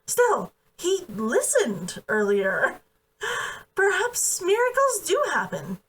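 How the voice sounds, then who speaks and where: A woman's voice: very high-pitched, wildly swooping pitch, slow pace, a bit bright, pitched above the performer's own voice, exaggerated voice. A woman reading the part of a proud, defiant and playful young woman in a novel, in an emphatic, teasing manner. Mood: wry, playful. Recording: distant from the mic.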